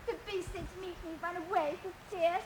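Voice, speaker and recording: A woman's voice: very high voice, fairly even intonation, brisk, background noise. A woman playing a spurned young woman, pleading in tearful Elizabethan verse.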